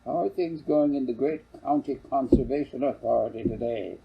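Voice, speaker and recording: An older man voicing a brisk, scolding farm mother in a storybook, husky and sharply questioning. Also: mid-range voice, muffled recording, voice pushed up. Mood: dry teasing.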